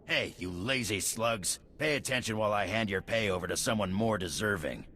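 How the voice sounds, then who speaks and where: An actor playing a gruff, scornful mercenary boss in a video game, sneering and blunt. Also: male voice, lowish voice, expressive, a little fast, bright, forceful tone, a little hiss or hum. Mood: gruffly approving. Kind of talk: video game character acting.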